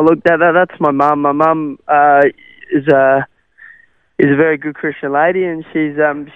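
A man's voice: a little high, clean tone, dry recording. Young aussie guy, afrikaans first language, umms a lot, dry tinny radio sound. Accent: Australian. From South Africa. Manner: hesitant, many fillers and restarts. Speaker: interview guest, cricketer. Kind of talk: radio interview. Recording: tinny phone-like radio line.

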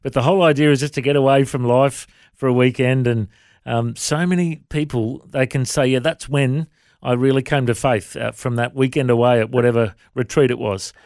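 Australian man, casual interviewer, relaxed banter, dry recording. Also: mid-range voice, normal speed, dry recording. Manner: easygoing, chatty, light joking. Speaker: podcast host.

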